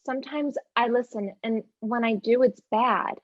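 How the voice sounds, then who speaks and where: Nervous, insistent girl played by a young woman, student drama; notably high, stammering delivery, dry close sound. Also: moderate pace, dry recording, highs cut off, natural voice. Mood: hurt, subdued. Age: young adult. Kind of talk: student one-act stage play acting.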